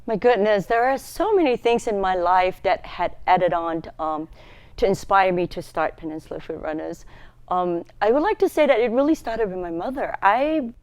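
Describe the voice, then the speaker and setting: A woman's voice: medium pitch, lively intonation, normal speed, darkish tone. A woman from Malaysia, a charity founder, telling her life story as a guest in a TV studio, laughing often. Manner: chatty, laughs often while telling stories. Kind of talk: casual interview storytelling. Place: local TV studio.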